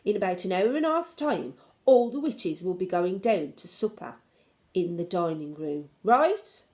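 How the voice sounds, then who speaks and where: A woman's voice: lowish voice, expressive, a little slow, a little mellow. A woman voicing a shrewd, affectionate old grandmother in a storybook, with an emphatic, doting manner. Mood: brisk, affectionate.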